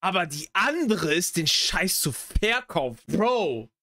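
A man's voice: high voice, sing-song, slow pace, bright, forceful tone. A young man giving casual, opinionated reaction commentary in emphatic slang peppered with English phrases. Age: young adult. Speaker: reaction video host. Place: small home gaming room.

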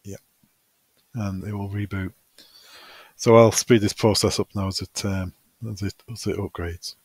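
A man working as a technical trainer, talking through a software tutorial step by step in an informal, hesitant way, as if thinking aloud. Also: lowish voice, steady tone, unhurried, slightly rough, no room sound.

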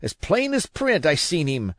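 A man voicing a weak, feverish old sea captain who curses and pleads in rough sailor talk. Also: a little high, wildly swooping pitch, measured pace, a bit bright, dry recording, clean studio sound, pitched above the performer's own voice, heavily acted. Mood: desperate. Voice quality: feeble, wheedling.

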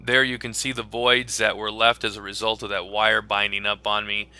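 A man who teaches welding narrates a voice-over of his own welding footage. He explains in a plain, practical way and often pauses with "uh". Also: fairly low pitch, little pitch movement, pushed and bright, clear, resonant tone. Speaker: welding instructor.

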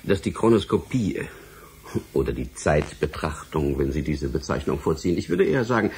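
An actor playing a weary, wry middle-aged department director in a radio drama, speaking in a measured, theatrical, courteous way. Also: male voice, a bass voice, sing-song, darkish tone, slight background noise, heavily acted. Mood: courteous, evasive. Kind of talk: German radio drama acting.

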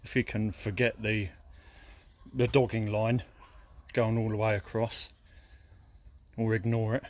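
A man's voice: on the low side, little pitch movement, a little slow, highs cut off. Wry man muttering outdoors. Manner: wry, muttering asides, swears casually. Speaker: graffiti vlogger. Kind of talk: casual walking commentary. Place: outdoors by a graffiti wall and woodland. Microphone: handheld camera phone.

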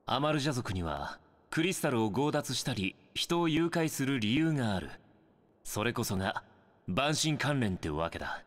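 A man's voice: medium pitch, sing-song, moderate pace, long reverb tail, close to an everyday voice. A man voicing a self-assured young scout in a Japanese video game, briefing in a confident, informal way. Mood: serious briefing.

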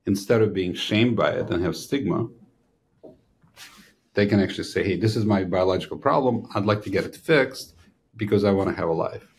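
A man in his sixties with a fairly low voice. He hosts an interview and explains points in an animated way. He sits at a desk podcast mic in a small studio. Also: fairly quick. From Ukraine. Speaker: physician host. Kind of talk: interview conversation. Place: small studio room with a banner.

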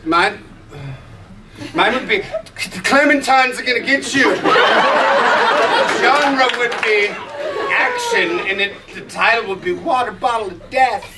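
A man's voice: extremely high pitch, big pitch swings, unhurried, pauses often, over a noisy crowd. A male voice actor on a convention panel, playful and grateful. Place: large convention hall. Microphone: table mic, far camera. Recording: distant camera, loud hall noise.

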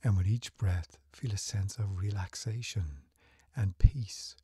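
A man leads a guided meditation in a low, mellow voice that is heavily breathy and often close to a whisper. His delivery is slow, gentle and soothing, with unhurried phrasing throughout. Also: no room sound.

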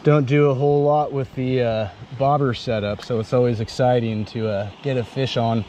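A man's voice: medium pitch, steady tone. A young American man chatting casually to himself in short exclamations while fishing outdoors on a river. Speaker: angler and fishing vlogger. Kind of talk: casual off-the-cuff fishing vlog chat. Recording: loud rushing river water noise outdoors.

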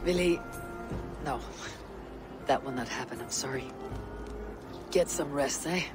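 A Viking warrior woman in a video game. Her voice is low and husky, and she speaks slowly and solemnly, steady and loyal, over music. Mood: gentle, regretful. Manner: solemn, deliberate. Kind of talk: video game cutscene acting.

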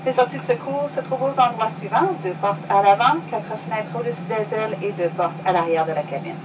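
A woman giving a scripted airline safety announcement in a measured, formal way, heard over the cabin speakers of an airplane. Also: on the high side. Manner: measured, formal, even delivery. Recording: distant cabin speaker with engine hum.

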